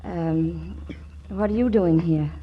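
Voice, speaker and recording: An actress playing a plucky, wry young romantic heroine in a 1940s radio comedy, with a dry delivery. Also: female voice, lowish voice, slow pace, husky, lo-fi sound. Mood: hesitant.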